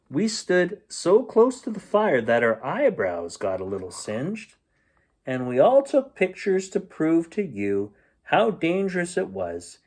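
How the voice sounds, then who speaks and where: A man reading a children's storybook aloud in an expressive, playful storytelling manner. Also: on the high side, husky. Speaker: bedtime story reader.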